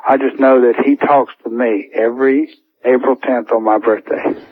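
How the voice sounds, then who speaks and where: A man's voice: medium pitch, steady tone, moderate pace, dry recording. A man with a mid-range voice phones in to a radio show to share something personal. He speaks hesitantly and doubles back on his words. The sound is a dry, narrow telephone line. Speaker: anonymous radio caller. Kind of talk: personal account told on a call-in show.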